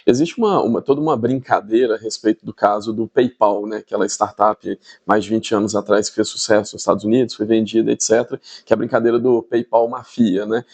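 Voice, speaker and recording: Gravelly Brazilian man with a Minas accent. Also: lowish voice, darkish tone. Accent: Brazilian, Minas Gerais. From Espírito Santo, Brazil. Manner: explanatory, with frequent tag questions. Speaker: podcast guest, tech entrepreneur. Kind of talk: conversational interview.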